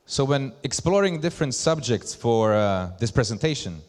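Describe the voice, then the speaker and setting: A man from Slovakia with a Slovak accent, giving a conference presentation as a brand ambassador in deliberate, emphatic short phrases. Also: mid-range voice, big pitch swings, darkish tone. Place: large hall at a live event. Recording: echoey hall with long reverb.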